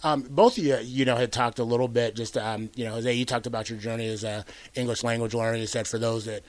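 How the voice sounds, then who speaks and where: A man's voice: medium pitch, steady tone, fast talker, no echo. A middle-aged man hosting an interview, speaking earnestly and reflectively with many "you know"s. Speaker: host. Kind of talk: radio interview conversation.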